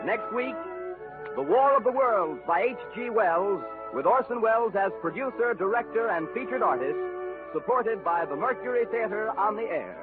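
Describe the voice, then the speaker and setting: A man's voice: sits high, animated, normal speed, music in the background. A man announcing the credits and the next week's show on 1930s network radio, formal and declamatory. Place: broadcast studio. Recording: tinny 1930s radio broadcast.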